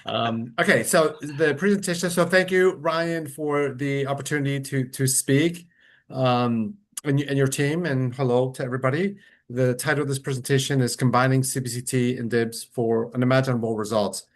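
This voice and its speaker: A middle-aged man from Colombia, an orthodontist, giving a relaxed, conversational webinar lecture over a computer microphone on a video call, with frequent fillers and restarts. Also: mid-range voice, normal speed.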